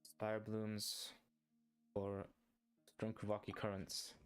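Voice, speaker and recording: A man's voice: fairly low pitch, monotone, drawn-out words, clean tone. A man giving casual commentary on a game playthrough, sometimes reading dialogue aloud. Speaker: gaming streamer. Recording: faint game music behind.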